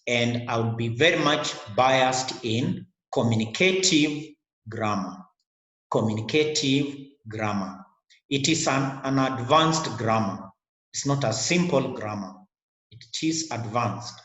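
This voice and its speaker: Middle-aged kenyan guy teaching english on webcam, slow and earnest, echoey little room. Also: medium pitch, a bit bright. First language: a Kenyan language. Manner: slow, earnest, repeats words and phrases. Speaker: English lecturer. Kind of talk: online lecture to camera. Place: small indoor room.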